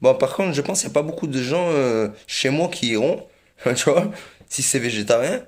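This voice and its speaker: A man's voice: medium pitch, expressive, normal speed. Rambling chuckling man. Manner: rambling and repetitive, many fillers and chuckles. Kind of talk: rambling monologue.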